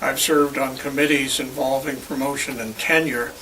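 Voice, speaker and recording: An elderly man with a bright, crisp-edged voice gives measured, formal interview answers. He sounds a little way from the microphone, with faint noise behind him. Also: medium pitch, steady tone. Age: 70s or older. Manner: formal, complete sentences. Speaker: university professor. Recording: distant mic, faint background noise.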